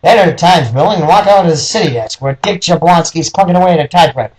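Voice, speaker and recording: A man's voice: a little high, lively intonation, rapid-fire, background noise. A man reading scripted noir detective story narration as the narrator.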